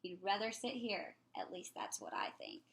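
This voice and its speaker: A woman reading a children's picture book aloud in an expressive, playful way. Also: lowish voice, normal speed, vocal fry.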